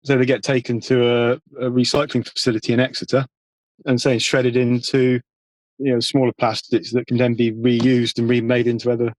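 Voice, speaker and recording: A man talking casually as an interview guest, explaining things in a matter-of-fact, measured way. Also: mid-range voice, little pitch movement, no room sound, low-quality recording. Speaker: podcast guest, environmental campaigner.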